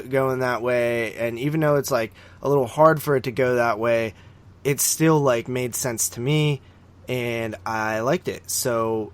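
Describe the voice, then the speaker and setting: A man co-hosting a film review podcast, debating casually in an insistent, teasing, emphatic way. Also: medium pitch. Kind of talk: casual argumentative chat.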